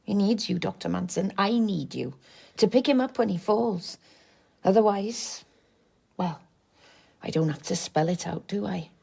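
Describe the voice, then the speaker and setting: Radio drama role: tough, frank working-class woman, coaxing and sardonic, mid-pitched with creaky edges. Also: animated, a little slow, long pauses, a little mellow, full character voice. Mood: pleading, insistent.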